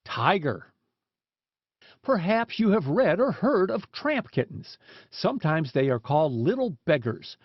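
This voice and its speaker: A man reading children's animal stories aloud as an audiobook, in a measured, deliberate storybook delivery. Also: high voice, big pitch swings, slightly rough, no room sound. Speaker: audiobook reader. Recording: dull, dry lo-fi recording.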